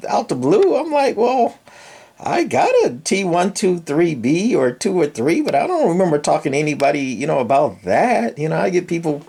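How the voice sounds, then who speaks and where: An older man with a gravelly voice, giving a casual, rambling hobbyist walkthrough with folksy, dryly sarcastic asides. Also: on the high side, darkish tone. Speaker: radio hobbyist presenter.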